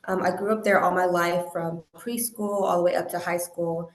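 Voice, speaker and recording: The sound is noticeably roomy and echoey. A young woman from the American Midwest speaks in a slightly low voice. She is an interview guest, sharing her testimony earnestly and reflectively. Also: steady tone, normal speed. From Indiana, American Midwest. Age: young adult. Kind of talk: personal testimony in conversation. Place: remote online call. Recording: roomy, echoey call audio.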